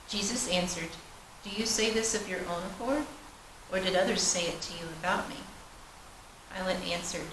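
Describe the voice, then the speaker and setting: Female scripture reader, solemn scripted delivery, mid-pitched, heard through heavy background noise. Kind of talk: solemn scripted reading of a Bible narrative. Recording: roomy echo, distant mic, loud noise.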